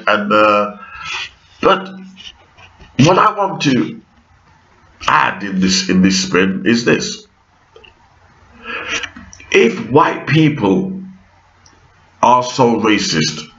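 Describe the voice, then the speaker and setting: A man with a deep, bassy, harsh voice, talking to camera at home in a hesitant monologue full of ums that grows heated. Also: fairly bright tone. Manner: slow and hesitant with frequent ums, rising into heated ranting. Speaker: vlogger. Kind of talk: unscripted opinion monologue. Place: at home with pet rabbits nearby. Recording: echoey room, dull lo-fi sound.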